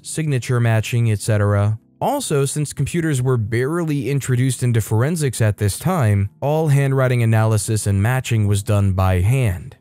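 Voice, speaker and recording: Mellow-voiced man narrating reader stories. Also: medium pitch. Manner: steady, matter-of-fact reading with light asides. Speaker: story narrator. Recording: faint background music.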